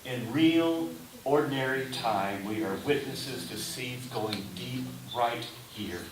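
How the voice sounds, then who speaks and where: A man delivering a sermon in a deliberate manner, in short phrases with pauses. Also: medium pitch. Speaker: preacher. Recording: roomy echo, distant mic, faint hiss.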